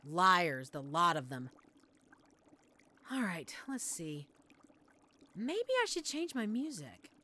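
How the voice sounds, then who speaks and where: A woman's voice: lowish voice, very animated, slow, pauses often, pushed and bright, slight background noise, heavily acted. A woman playing a chatty, flustered young witch-in-training shopkeeper in an audio roleplay, with a theatrical, rambling manner. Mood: anxious, muttering. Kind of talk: scripted audio roleplay, addressed to the listener.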